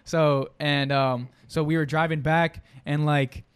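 A young man guesting on a podcast, his first language Italian, speaks in a loose, slang-filled, easygoing way. His voice sits slightly high for a man, and he often trails off and restarts. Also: steady tone, measured pace, clear, resonant tone. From Brooklyn, New York. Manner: laid-back slangy talk with many fillers and restarts. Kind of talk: casual chat.

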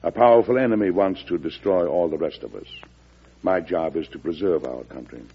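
An actor playing a wry, firm wartime American president in an old-time radio drama, measured and folksy, with an American accent. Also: male voice, sits low, creaky, husky, exaggerated voice. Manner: folksy, measured, dryly humorous. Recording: muffled vintage radio recording.

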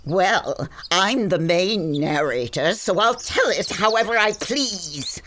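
An actress playing a haughty, easily irritated narrator, telling a comic fantasy tale with wry theatrical delivery. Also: female voice, lowish voice, animated, takes it slowly, fairly bright tone, slight background noise. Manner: wry, imperious. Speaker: main narrator.

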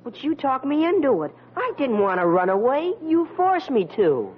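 An actor playing an earnest, put-upon American son, hesitant and protesting, in a 1940s radio comedy. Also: male voice, very high voice, wildly swooping pitch, normal speed, breathy edge, lo-fi sound, exaggerated voice. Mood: sheepish, protesting. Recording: thin, noisy vintage radio recording.

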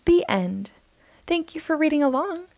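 A woman hosting a storytime, reading a children's picture book aloud slowly and expressively. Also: high voice, gravelly, no echo. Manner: slow, expressive, sing-song reading.

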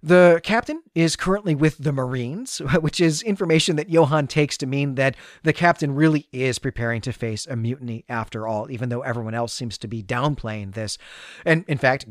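A man's voice: medium pitch, moderate pace, no room sound, clean studio sound. A man hosting a podcast, recapping a story in an explanatory, conversational way and rephrasing points for emphasis. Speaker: podcast host. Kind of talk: conversational literary recap and analysis.

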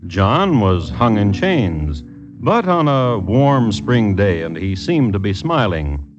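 An actor playing a wry, formal true-crime host, narrating deadpan in 1950s radio style. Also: male voice, on the low side, takes it slowly, music in the background. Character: dry, droll male true-crime storyteller hosting the show. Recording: dull old radio recording.

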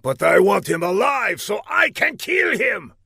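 A man voicing a hot-tempered, blundering villain who blusters loudly in a comic audiobook. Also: a little high, sing-song, measured pace, vocal fry, breathy edge, dry recording, clean studio sound, voice pushed up, exaggerated voice. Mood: commanding. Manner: loud, blustering.

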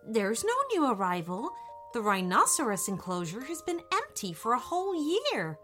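A woman's voice: fairly high pitch, wildly swooping pitch, moderate pace, a bit bright, soft background music. Woman voicing a polite stammering zoo dog. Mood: puzzled. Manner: hesitant, stammering.

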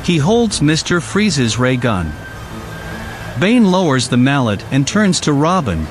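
Male narrator, higher-pitched and animated, dramatic storybook delivery. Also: unhurried. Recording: loud music under the voice. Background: loud music.

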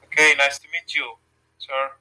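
A man's voice: mid-range voice, little pitch movement, normal speed, bright, forceful tone, low-quality recording. A man with a Turkish accent on a video call, giving short, polite replies in English. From Turkey. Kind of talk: casual video chat with a stranger.

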